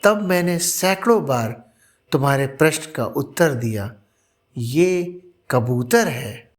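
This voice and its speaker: A man voicing a gentle, hurt elderly father in a storybook tale, speaking earnestly and with sorrow. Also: mid-range voice, animated. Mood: hurt, reproachful. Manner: slow, sorrowful, earnest delivery.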